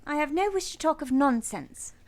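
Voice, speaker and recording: An actress playing a grave, reserved, plain-spoken young governess in a radio play, earnest and polite. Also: female voice, high voice, lively intonation, normal speed. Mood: guarded, polite. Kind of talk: radio play acting and first-person narration.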